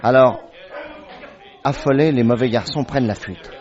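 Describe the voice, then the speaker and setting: An actor playing a loyal companion who tells the tale vividly in a French radio drama and is easily exasperated. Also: male voice, mid-range voice, normal speed, natural voice. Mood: urgent, excited. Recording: dull old radio recording, crowd murmur behind.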